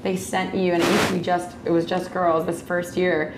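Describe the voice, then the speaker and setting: A woman's voice: fairly low pitch, little pitch movement, normal speed. A woman co-hosting a live-streamed chat with a playful, self-teasing manner. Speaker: co-host. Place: loft above a store during a convention. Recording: echoey room with background noise.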